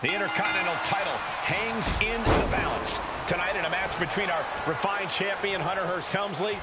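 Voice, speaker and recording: A man's voice: high-pitched, brisk, close to an everyday voice. A man playing an earnest, dramatic play-by-play announcer in a scripted wrestling game commentary. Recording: loud arena noise behind. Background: arena ambience and ring thuds.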